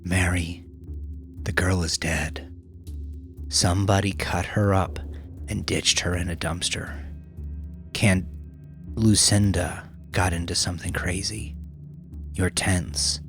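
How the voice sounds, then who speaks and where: A man reads the part of a hard, blunt woman detective in a crime audiobook. She is terse and confrontational, in a low voice over faint music. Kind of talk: audiobook character voice.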